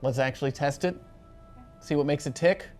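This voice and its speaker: A woman's voice: deep voice, moderate pace, a little mellow. A woman playing a ship's captain in a tabletop role-playing game, speaking decisively and matter-of-factly. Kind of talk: in-character tabletop role-play. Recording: background music.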